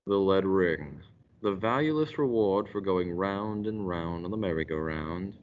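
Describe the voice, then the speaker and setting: Man as a low-voiced, portentous radio mystery announcer. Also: close to an everyday voice. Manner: theatrical, portentous. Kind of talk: old-time radio announcing. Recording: muffled recording with faint noise.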